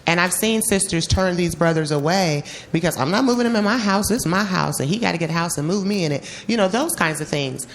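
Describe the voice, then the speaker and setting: A woman's voice: on the low side, expressive, fast, few pauses, slight background noise, poor audio. A woman audience member making an informal, opinionated comment at a public forum, emphatic and conversational.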